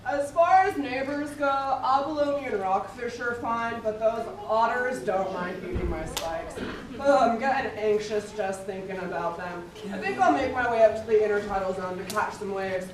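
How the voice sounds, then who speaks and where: Woman acting high, theatrical puppet sea creature. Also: a crowd in the background, lightly acted. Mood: fretful. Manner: exaggerated, slow, theatrical delivery. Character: chatty, theatrical talking sea creature of kelp forest. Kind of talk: live children's puppet show acting. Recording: echoey hall, distant mic, audience noise.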